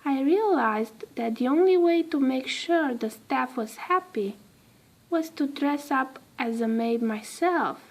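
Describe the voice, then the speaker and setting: A woman's voice: sits high, animated, takes it slowly, heavily acted. A woman voicing a kind, earnest young princess in a children's storybook, phrasing her words carefully. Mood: pleased, explaining. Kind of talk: children's storybook character reading.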